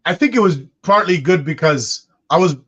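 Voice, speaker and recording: A man's voice: high-pitched, lively intonation, moderate pace, fairly bright tone. A man in his forties whose first language is Arabic, musing aloud in a casual podcast conversation with frequent restarts. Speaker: co-host. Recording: dull lo-fi sound.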